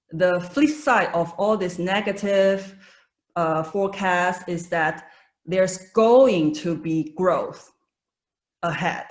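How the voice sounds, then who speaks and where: A woman in her thirties from Taiwan with a Taiwanese accent, presenting a webinar over a video call, hesitant with many fillers and restarts. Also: on the low side.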